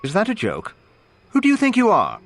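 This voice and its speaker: An actor playing a brusque, defensive, blustering patrol captain in a video game, with a curt, officious, irritable manner. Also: male voice, high-pitched, wildly swooping pitch, fairly quick, fairly bright tone, heavily acted. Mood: irritated, defensive.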